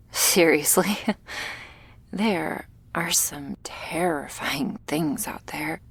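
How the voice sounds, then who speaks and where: A woman playing a shy, gentle vampire girl in an ASMR roleplay, speaking softly and hesitantly, awkward but reassuring, often slipping into a whisper. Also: low-pitched, dry recording, heavily acted. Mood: nervous, wistful. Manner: hesitant, halting, soft-spoken with breathy whispers. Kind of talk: ASMR roleplay addressed to the listener.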